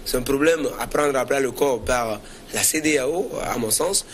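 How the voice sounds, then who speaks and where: Halting man, some background noise. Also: medium pitch. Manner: hesitant, halting delivery with repeated words. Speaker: panelist on a current affairs debate. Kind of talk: spontaneous political commentary in a discussion.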